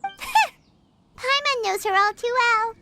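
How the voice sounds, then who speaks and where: A woman voicing a small, excitable childlike fairy companion in a video game, exclaiming and talking about herself in the third person. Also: extremely high pitch, slow, exaggerated voice. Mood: excited. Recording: background music under the voice.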